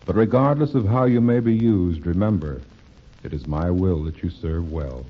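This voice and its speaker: A solemn spirit speaking from the forest wind, low and measured. The delivery is stately old radio drama, on a dull, muffled recording. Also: male voice. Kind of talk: 1950s radio drama acting.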